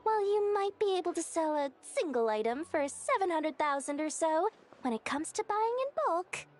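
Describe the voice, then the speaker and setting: A woman voicing a greedy, flattering young female merchant in an anime-style video game, salesy and theatrically courteous. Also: extremely high pitch, normal speed. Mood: eager, ingratiating.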